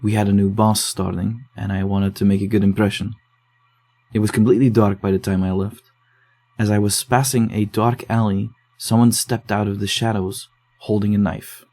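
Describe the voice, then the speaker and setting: Male actor playing investigator, horror audio drama, lowish pitch, very mellow tone, subdued, measured, low-key. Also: little pitch movement, lightly acted. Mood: earnest, narrating. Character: dogged, low-key man investigating psychiatric case files. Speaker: investigator digging through case notes.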